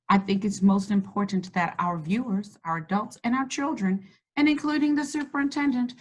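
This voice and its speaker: A woman hosting a children's read-aloud, encouraging and slow, stretching words as she reads. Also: fairly high pitch, rough recording. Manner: warm, encouraging, slows and stretches words when reading. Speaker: school district host reading to children. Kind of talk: read-aloud lesson and chat.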